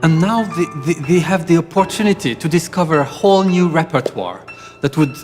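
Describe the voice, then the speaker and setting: A man from Morocco, a concert pianist, giving a prepared introduction in a measured, composed way. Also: a little high, lively intonation, darkish tone, in a large hall. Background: music playing.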